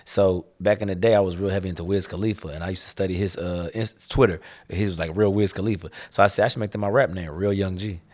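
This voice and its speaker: A man's voice: low voice, steady tone, fast talker, hardly any pauses, a little mellow. A young man from the Chicago area, a rapper talking casually in an interview, laid-back and slangy, often saying "you know what I'm saying". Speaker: rapper guest.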